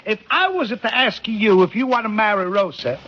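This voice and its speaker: High-voiced scheming father, vintage radio. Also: expressive, moderate pace. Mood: wheedling. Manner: exuberant, wheedling. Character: Pasquale, pushy scheming older matchmaking father. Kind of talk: old-time radio comedy acting. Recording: dull noisy vintage radio recording.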